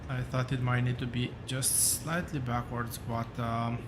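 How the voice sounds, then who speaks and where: Slow, hesitant man with a rough voice. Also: medium pitch, steady tone, background noise. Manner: slow, hesitant, thinking aloud with many fillers. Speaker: gaming channel host. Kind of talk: relaxed gameplay commentary.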